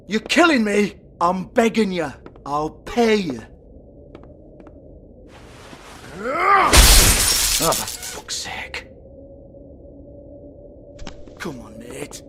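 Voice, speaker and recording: A man's voice: sits high, big pitch swings, takes it slowly, pushed and bright, slight background noise, exaggerated voice. A man playing a foul-mouthed, irritable British strip club owner in a video game, sarcastic and exasperated as he swears. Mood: exasperated, pleading.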